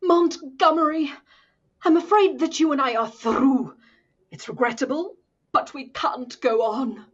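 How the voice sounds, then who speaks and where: A woman's voice: sits high, dull audio. A woman playing a sweet starlet who acts out a haughty society wife, melodramatically, in 1940s radio comedy style. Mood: mock tragic. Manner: melodramatic, drawn-out delivery.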